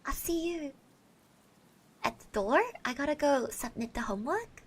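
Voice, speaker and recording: A woman playing a shy, sweet schoolgirl classmate in an ASMR roleplay, speaking softly and hesitantly to the listener. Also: high voice, lively intonation, moderate pace. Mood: soft, friendly. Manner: hesitant, stammering, often dropping to soft murmurs and whispers.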